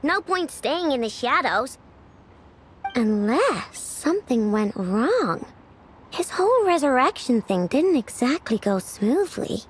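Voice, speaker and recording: Casting: tiny excitable fairy sidekick for a game, extremely high squeaky childlike voice, swinging expressively. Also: female voice, slow, slight background noise, heavily acted. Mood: matter-of-fact. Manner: expressive, speaks of herself in third person. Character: small excitable childlike fairy companion, easily frightened. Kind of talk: video game voice acting.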